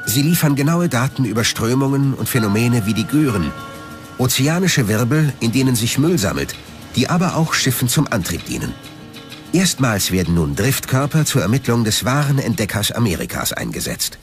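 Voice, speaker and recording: Male documentary narrator, scripted read with lively pitch movement, music underneath. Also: normal speed. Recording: music behind the voice. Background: background music.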